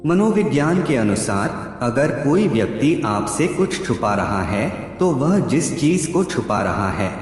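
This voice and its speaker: Male narrator, scripted and deliberate, animated intonation, drenched in echo. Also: mid-range voice. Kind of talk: scripted narration of psychology facts. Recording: heavy echo, long reverb, background music. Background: music.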